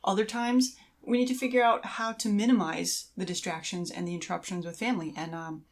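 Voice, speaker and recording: A woman's voice: medium pitch, steady tone, fairly quick. A woman co-hosting a podcast in casual conversation, explaining things in a supportive way. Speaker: podcast co-host.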